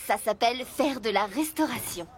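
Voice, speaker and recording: A woman's voice: on the high side, expressive, normal speed, heavy background noise, natural voice. A woman voicing a cheerful, helpful truck mechanic in a children's cartoon, upbeat and explanatory. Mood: cheerful, instructive.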